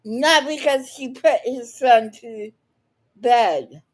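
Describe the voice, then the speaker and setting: A high-pitched woman delivers unscripted reaction commentary at a slow pace. She is blunt and combative, swears freely, and often breaks off her sentences before starting again. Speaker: online commentator reacting to another speaker.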